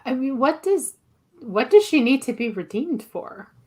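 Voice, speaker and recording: A woman's voice: sits high, very animated, a little slow, a little mellow. A woman giving her opinions in casual podcast chat, informal and peppered with "like". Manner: informal, frequent "like" as filler. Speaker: podcast guest.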